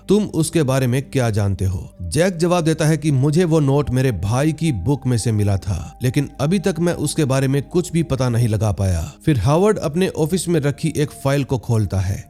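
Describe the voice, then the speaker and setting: A man's voice: medium pitch, dark tone. A man narrating a scripted movie recap in a storytelling style, with music behind him. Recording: music bed under voice. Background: background music.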